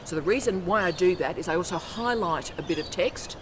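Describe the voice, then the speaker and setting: A woman's voice: on the low side, expressive, fairly quick. A middle-aged woman, a university academic, giving an emphatic, forthright instructional vlog talk outdoors on a campus by the ocean, filmed on her own camera. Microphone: self-filmed camera outdoors. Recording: outdoor noise with birds and traffic.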